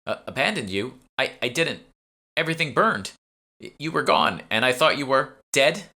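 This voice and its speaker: Man reading as flustered, stammering young woman, raised pitch. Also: lively intonation, moderate pace, pushed and bright. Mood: flustered, shocked. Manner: stammering, agitated. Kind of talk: audiobook character dialogue.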